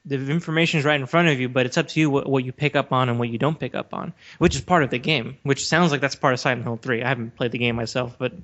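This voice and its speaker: A man's voice: medium pitch, fast talker, hardly any pauses, a bit bright. Dull lo-fi recording of a man discussing. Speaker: podcast co-host. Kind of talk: casual discussion of video games.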